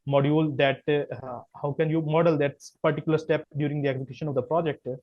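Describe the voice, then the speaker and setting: A man with a Pakistani accent, a Punjabi speaker, answering podcast interview questions as a chemical engineer, talking haltingly with many fillers and restarts. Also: fairly high pitch, fairly even intonation, no echo, highs cut off.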